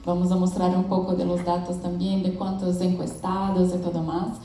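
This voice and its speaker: A young Brazilian woman with a Portuguese accent gives a friendly talk at a business conference, pausing to find her words and laughing now and then. Her voice is mellow and dark. The sound is very echoey, picked up from a distance in a big hall. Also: on the low side, completely flat delivery, a little hiss or hum. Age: young adult. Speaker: conference speaker. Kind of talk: conference presentation. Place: large event hall with audience.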